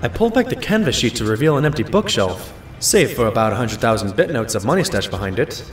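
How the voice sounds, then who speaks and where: Man acting an earnest, animated doctor sidekick. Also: medium pitch, fast talker, faint music behind, close to an everyday voice. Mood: excited. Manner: earnest, expressive storytelling. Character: earnest, modest male pony doctor, loyal sidekick and narrator. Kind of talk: audio drama narration and dialogue.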